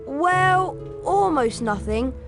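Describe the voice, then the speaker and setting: A woman's voice: high-pitched, animated, slow pace, heavily acted. A woman voicing a plucky, good-hearted schoolboy hero in a video game, eager and sincere. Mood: cheerful. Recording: music and crowd behind the voice. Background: music, crowd noise.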